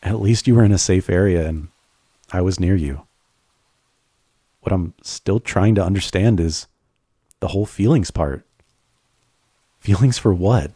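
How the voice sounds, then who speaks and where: A man performs a devoted, tender friend turned lover in a romantic audio drama. His voice is deep, dark and soft-edged with some huskiness. He speaks straight to the listener in an earnest, halting way. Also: husky, dry recording. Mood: concerned, gentle. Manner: halting, earnest, with stammered repeats.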